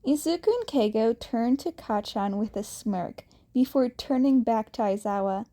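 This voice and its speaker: A woman reading a fanfiction story aloud, slowly and haltingly, with some restarts, and she raises her pitch when she voices the characters. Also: expressive, mellow. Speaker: fanfiction reader.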